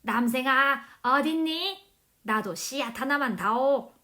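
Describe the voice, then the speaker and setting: A greedy, blustering man from a children's storybook acted out by a woman. The voice is high and loud, full of exclamations. He throws himself into every line with big, dramatic emphasis. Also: takes it slowly, heavily acted. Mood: greedy, eager. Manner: loud, exclamatory, wailing pleas. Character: greedy, blustering man who panics and begs. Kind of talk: children's storybook character acting.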